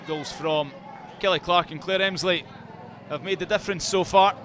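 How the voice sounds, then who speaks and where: A man commenting on a football match, then reading a measured introduction to a feature at half-time. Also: on the high side. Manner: measured, scripted link to a feature. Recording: crowd and stadium noise behind.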